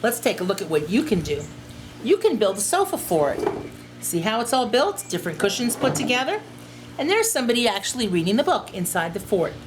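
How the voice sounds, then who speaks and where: Woman teacher, children's read-aloud; highly animated intonation, unhurried, addresses kids directly, faint background noise. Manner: expressive, addresses young listeners directly. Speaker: teacher reading to pupils.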